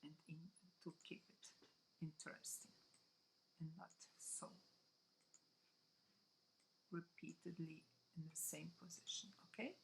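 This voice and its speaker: A woman from Brazil with a Brazilian Portuguese accent, whose first language is Portuguese, walking viewers through a software tutorial in halting English and often checking in with "okay?". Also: sits low, steady tone, vocal fry, slight background noise, poor audio. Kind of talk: screen-recorded software tutorial.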